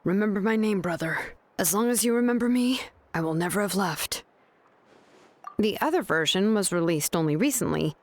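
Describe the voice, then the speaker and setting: Woman voicing an easygoing, expressive young game heroine. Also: mid-range voice, moderate pace, lightly acted. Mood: storytelling. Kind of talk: video game character dialogue. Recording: game music behind the voice.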